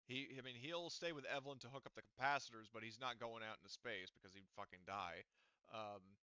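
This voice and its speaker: A man's voice: mid-range voice, brisk, sharp tone, clean tone, no echo. A man running a tabletop game as game master, talking casually with lots of fillers and swearing. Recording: dull lo-fi audio.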